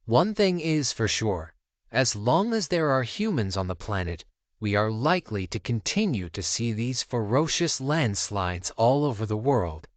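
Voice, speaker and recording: A man's voice: medium pitch, sing-song, measured pace, dry recording. A man reading scripted narration for a video compilation.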